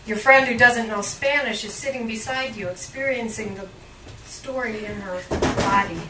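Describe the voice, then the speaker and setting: A woman poet reads aloud in a bright, forward voice that sits somewhat high. Her phrasing is measured and deliberate, leaning on each line break. It is a live cafe recording, caught from well back in a very roomy space. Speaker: poet, featured reader at a poetry reading. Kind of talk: live poetry reading. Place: indoor cafe poetry reading. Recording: roomy, distant live recording with faint noise.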